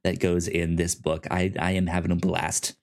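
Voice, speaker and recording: A man's voice: low voice, level tone, moderate pace. A man co-hosting a podcast in casual chat, rambling with frequent restarts and stammers. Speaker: podcast co-host.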